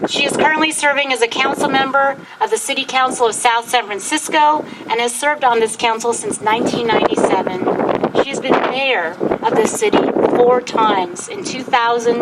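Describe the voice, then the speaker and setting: High-pitched woman giving a speech in loud wind. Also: lively intonation. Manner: solemn, deliberate, formal phrasing. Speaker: speaker at a commemorative gathering. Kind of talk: prepared public speech, read aloud. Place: outdoors at a historic site. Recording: wind-buffeted outdoor event microphone, loud noise.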